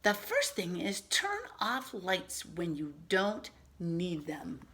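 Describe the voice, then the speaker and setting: A woman reading a picture book aloud to children as a teacher, expressively and patiently, pausing to explain and ask questions. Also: on the low side, huge pitch swings, a bit bright, a little hiss or hum. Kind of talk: animated read-aloud with simple explanatory asides.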